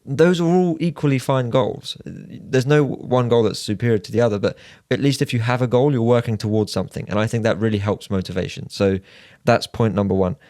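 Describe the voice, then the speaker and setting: A man's voice: mid-range voice, a little fast, darkish tone, no echo, clean studio sound. A man co-hosting a casual podcast discussion, giving advice in an orderly way and laying out numbered points. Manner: structured, advising, lays out numbered points. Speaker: podcast co-host.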